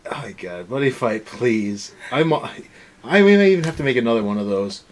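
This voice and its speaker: A man's voice: mid-range voice, expressive, normal speed. A young man co-hosting a casual review show, ranting in a sarcastic, exasperated way. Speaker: anime review show co-host.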